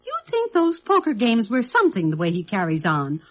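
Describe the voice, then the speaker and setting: High-pitched nosy neighbour woman. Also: wildly swooping pitch, normal speed. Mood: gossipy, disapproving. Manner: blunt, chatty, complaining. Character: nosy, gossipy, disapproving female neighbour. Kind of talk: mid-century radio drama acting.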